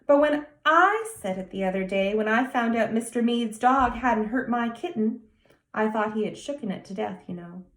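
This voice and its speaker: A woman's voice: a little high, expressive, normal speed, echoey room, lightly acted. A woman voicing a serious, earnest small boy of nearly ten in a storybook reading. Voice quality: grave, emphatic childlike delivery.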